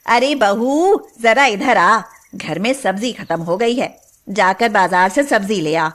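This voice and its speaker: A woman's voice: mid-range voice, slow, a bit bright. A woman playing a cruel, bossy middle-aged mother-in-law who scolds and taunts in a story cartoon. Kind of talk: animated moral story voice acting.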